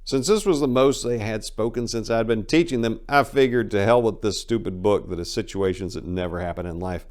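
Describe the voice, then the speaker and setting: A man with a fairly low voice narrates a memoir as a dramatic reading. His delivery is theatrical and emphatic, in the role of a host reading to his audience. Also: a little fast, hardly any pauses, darkish tone. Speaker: channel host and reader.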